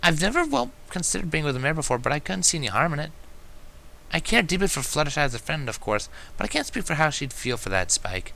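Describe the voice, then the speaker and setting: A gentle, bookish young mare from a fan story reading, acted out by a man in a raised voice. She explains things in a measured, reassuring manner, with a bright edge to her tone. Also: fairly high pitch, sing-song, vocal fry, voice pushed up, full character voice, rough recording. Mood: hesitant, tender. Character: studious, gentle young female pony, reassuring.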